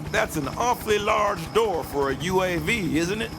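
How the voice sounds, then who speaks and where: An actor playing a proud, skeptical American retired Marine colonel, direct and challenging, in an audio drama. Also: male voice, high-pitched, expressive, moderate pace, creaky, music in the background. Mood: suspicious.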